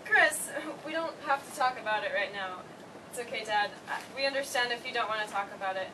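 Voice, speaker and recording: A woman playing an energetic, caring daughter in her thirties in a staged play reading. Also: on the high side, a little fast, close to an everyday voice. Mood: reassuring. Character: energetic, ambitious thirty-four-year-old daughter, caring and busy. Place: theater stage, recorded from the audience. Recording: distant, noisy live theater recording.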